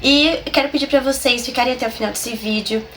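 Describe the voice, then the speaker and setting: A woman with a Brazilian accent who hosts a horror story channel and narrates slowly and dramatically, her voice nasal and a little hoarse from a flu. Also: on the high side, fairly bright tone, slight background noise. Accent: Brazilian Portuguese. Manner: slow, dramatic storyteller's delivery. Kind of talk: slow scripted horror narration.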